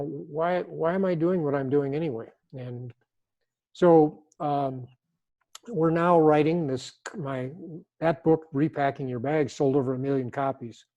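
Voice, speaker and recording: An older man speaking as a guest author in an interview, thoughtful and unhurried, often repeating and reworking his phrases. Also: mid-range voice, dark tone, lo-fi sound. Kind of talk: reflective interview talk.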